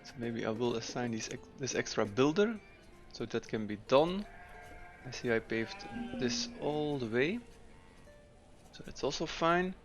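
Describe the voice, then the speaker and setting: A man's voice: mid-range voice, animated, soft background music. Man musing through gameplay. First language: Dutch. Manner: thinking aloud, unhurried, frequent fillers. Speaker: gameplay commentator. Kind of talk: casual let's-play commentary.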